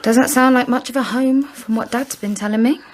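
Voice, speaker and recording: An actress playing a sensible, teasing young woman in a radio drama, brisk and affectionate. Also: on the high side, steady tone, a little hiss or hum, lightly acted. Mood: welcoming. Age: young adult.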